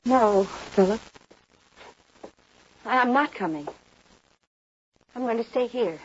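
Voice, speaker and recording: A woman's voice: fairly high pitch, expressive, slow pace, slight background noise. An actress playing a wounded but resolute young saloon woman in an old-time radio western. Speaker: radio drama actor. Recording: dull old radio recording.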